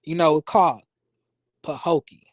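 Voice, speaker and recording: A man with an American accent chatting casually as a livestream host, in a sassy, expressive, slangy conversational way. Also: fairly high pitch, a little slow, no echo, muffled recording. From United States, born in rural Florida and raised in Las Vegas. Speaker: YouTube reviewer and livestream host. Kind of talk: casual livestream chat with viewers.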